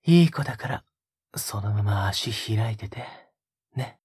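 A man playing a tender, teasing young male lover in an intimate romantic audio drama, breathy and often whispering in a soft, coaxing way close to the microphone. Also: lowish voice, animated, measured pace, no echo, heavily acted. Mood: gentle, coaxing. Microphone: close microphone, dry sound.

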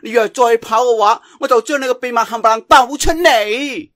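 A man's voice: very high voice, normal speed, a bit bright, no echo, clean studio sound, voice pushed up. A man voicing a plump, feisty, lovesick young swordswoman in a Cantonese audiobook, scolding in an imperious, coquettish way. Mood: threatening, haughty.

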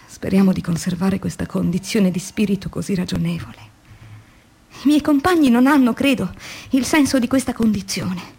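A weary, husky woman factory worker. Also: medium pitch, mellow. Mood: bitter, reflective. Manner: introspective, theatrical, shifting between murmurs and emphatic phrases. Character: weary young woman factory worker, reflective, irritated, demoralized. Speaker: actress. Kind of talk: dramatic radio theatre monologue, diary reading.

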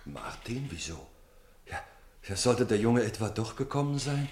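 A man's voice: on the low side, big pitch swings, moderate pace, a little mellow, echoey room, slight background noise. An actor playing a downhearted but kindly father who comforts his wife, in a German radio play. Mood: astonished.

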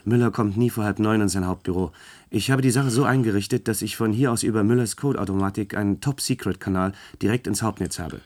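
A man's voice: lowish voice, fast talker, darkish tone, lightly acted. An actor playing a discreet male conspirator in a German science-fiction radio play, explaining and placating. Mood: earnest, explaining.

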